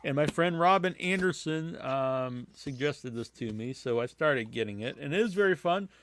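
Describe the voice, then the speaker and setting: Halting man in casual podcast chat, dry sound. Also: fairly high pitch, lively intonation, a bit bright, no echo. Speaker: horror podcast host.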